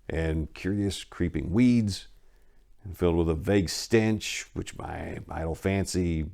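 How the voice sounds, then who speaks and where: A man giving a deliberate, theatrical dramatic reading of a horror story as narrator, swelling on some words and dropping hushed on others. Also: sits low, creaky. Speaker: narrator, amateur story reader.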